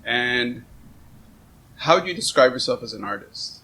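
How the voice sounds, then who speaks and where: A man's voice: medium pitch, expressive, measured pace, bright, forceful tone, low-quality recording. A man hosting a casual, conversational interview in an effusive, complimentary way. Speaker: interviewer and show host. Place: on a houseboat, seated at a table. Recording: clear background noise and ambience.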